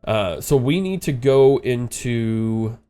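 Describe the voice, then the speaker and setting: A man's voice: mid-range voice, lively intonation, slow, warm tone, smooth, faint music behind. Stuffed-up man narrating his game. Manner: rambling, thinking aloud, frequent uh fillers. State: congested, stuffed-up nose. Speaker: strategy game streamer. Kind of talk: casual let's-play commentary.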